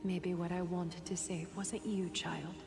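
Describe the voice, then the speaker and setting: A woman's voice: lowish voice, flat delivery, measured pace, vocal fry. A woman voicing a stately, guarded leader and mentor in a video game, formal and composed. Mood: solemn, guarded. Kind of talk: dramatic video game voice acting. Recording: long reverb, music behind.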